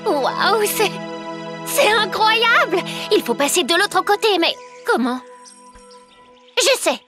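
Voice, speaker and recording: A woman voicing an eager, dramatic young girl in an animated cartoon. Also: very high-pitched, slow, exaggerated voice. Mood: excited. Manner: dramatic, exclamatory. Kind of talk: French animated cartoon dubbing. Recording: music clearly behind.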